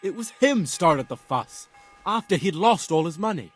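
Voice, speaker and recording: An actor playing a combative medieval brawler in a video game, husky, blunt and coarsely mocking. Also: male voice, sits high, expressive, moderate pace, faint music behind. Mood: scornful, mocking. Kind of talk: video game dialogue acting.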